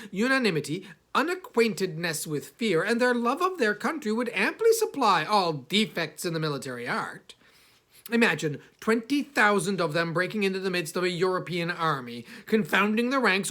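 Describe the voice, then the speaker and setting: A man reading classic literature aloud as an audiobook narrator, theatrical and measured, sometimes dropping to a hush. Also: high-pitched. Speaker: audiobook reader.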